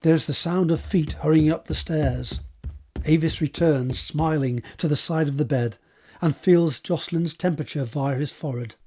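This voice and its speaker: Mellow, husky male narrator. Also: a little high, normal speed. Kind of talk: scripted stage-direction narration.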